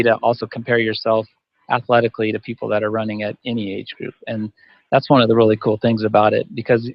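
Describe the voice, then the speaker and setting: A man's voice: mid-range voice, vocal fry, no echo. A man in his fifties answering on a panel, rambling and restarting his sentences often. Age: 50s. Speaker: masters runner guest. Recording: dull dry audio.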